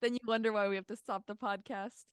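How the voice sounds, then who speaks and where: A woman co-hosting a podcast, joking energetically in casual banter and swinging loud at times. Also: mid-range voice, fairly even intonation, fast, clear, resonant tone. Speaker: podcast co-host. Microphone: remote call, separate room.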